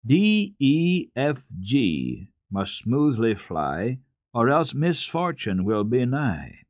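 A man voicing an earnest, kindly little girl who coaxes and recites in a measured sing-song for a storybook. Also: medium pitch, big pitch swings, a little mellow, dry recording, pitched above the performer's own voice. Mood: distinct, warning.

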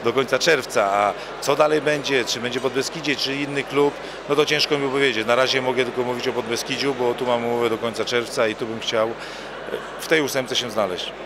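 Football coach answering at a noisy gala. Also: male voice, medium pitch, steady tone, a little fast. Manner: repeats words when starting phrases. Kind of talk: interview answers. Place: hotel bar at an evening gala, indoors. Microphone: handheld mic held by interviewer.

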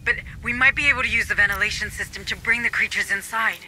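An actor playing a pragmatic administrator turned activist who guides marines in a video game, earnest and commanding. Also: male voice, high-pitched, fairly even intonation, quick pace, bright, forceful tone, a little hiss or hum, rough recording. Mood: determined. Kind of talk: video game cutscene and mission dialogue acting. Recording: game music behind the voice.

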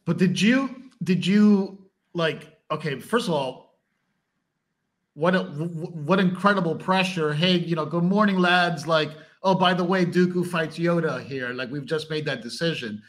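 A man's voice: fairly high pitch, a little slow, a bit bright. A man hosting a podcast over a video call, asking eager, enthusiastic questions. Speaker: podcast host. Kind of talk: casual video call interview.

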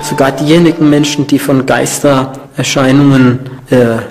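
A man's voice: medium pitch, fairly even intonation, moderate pace, over loud music. A man giving a considered explanation in an interview as a parapsychology researcher.

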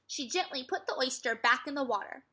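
A young adult woman reads a children's story aloud as a teacher, with expressive, clearly phrased narration. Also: sits high, fairly quick. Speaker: teacher reading aloud to second graders. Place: furnished room at home, indoors.

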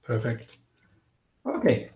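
A man in his forties from Austria with an Austrian accent, giving a clear, lightly humorous guest lecture over a video call. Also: slow, a little mellow. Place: indoors, home or office, virtual background. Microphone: video call webcam. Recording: roomy video call audio.

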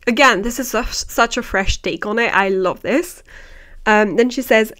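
A woman's voice: fairly high pitch, moderate pace, a bit bright. A young woman whose first language is not English, talking animatedly and thoughtfully into a close desk microphone in a home room. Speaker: vlogger. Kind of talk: casual chat, reading out viewer answers. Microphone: desk microphone close to the mouth.

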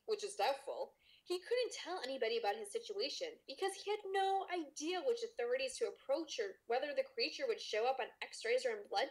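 A woman's voice: sits high, rough recording. A woman podcast host reading a story aloud in a dramatic, playful way, with casual comic asides.

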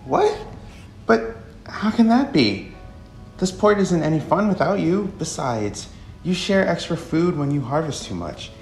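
A friendly, plainspoken delivery woman, acted by a man in a visual novel. The delivery is chatty and very varied in pitch. It has a roomy echo with music behind it. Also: measured pace, creaky, heavily acted. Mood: friendly, dismayed. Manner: expressive, animated. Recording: echoey room under music.

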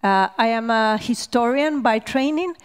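A woman speaks on a stage panel through a headset mic. Her voice sits a little high and comes across smooth and clear. She pauses often, filling gaps with "uh" as she works through her thoughts. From Costa Rica. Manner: hesitant, many "uh" fillers, gestures while speaking. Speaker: panel guest, researcher. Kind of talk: panel discussion answer. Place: stage at a public talk event, indoors.

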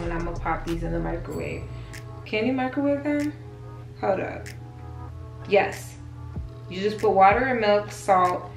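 A young woman in her twenties chatting playfully to the camera in a casual grocery haul vlog, filmed in a small home kitchen on the camera's own mic. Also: medium pitch. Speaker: vlogger. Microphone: camera mic at close range. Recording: echoey kitchen with faint music.

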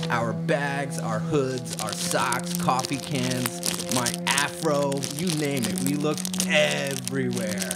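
Man playing a slow-talking stoner dude. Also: mid-range voice, lightly acted. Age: 20s. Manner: drawling slacker slang. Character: Kyle, easygoing stoner dude, young camper. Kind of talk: live student radio theatre. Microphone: stand microphone. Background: music.

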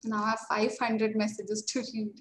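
A woman's voice: on the high side, flat delivery, normal speed. A young woman with an Indian English accent, teaching a webinar as a trainer and explaining steadily and reassuringly over a video call. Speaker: IELTS trainer. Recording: roomy video call audio.

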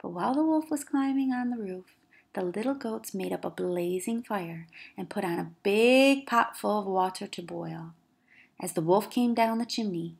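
Higher-voiced woman reading to children. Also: gravelly. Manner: expressive, careful emphasis for young listeners. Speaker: children's storyteller, teacher. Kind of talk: children's read-aloud narration.

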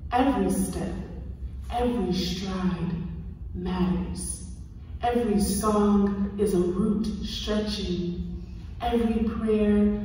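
A distant, reverberant recording of a woman reciting poetry in a theater. Her voice is soft-edged and mellow, and she moves very slowly through short, deliberate phrases, leaving weight in the pauses. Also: medium pitch, a little hiss or hum. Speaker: poet. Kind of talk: spoken word poetry recital. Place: played over theater speakers, recorded from the audience. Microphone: phone in the audience, far from the speakers. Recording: echoey theater screening recorded from far off.

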